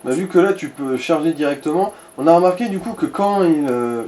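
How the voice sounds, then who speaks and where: A man's voice: fairly high pitch, a little fast, a little mellow, slight background noise. A man giving directions and advice in a casual gaming chat, informal and peppered with crude slang.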